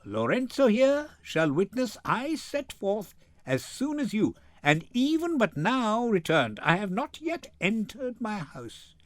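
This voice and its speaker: A man's voice: high voice, sing-song, takes it slowly, a bit bright, dry recording, pitched above the performer's own voice, full character voice. An older man voicing a witty, commanding young noblewoman in a poised, theatrical Shakespeare reading. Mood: poised, authoritative.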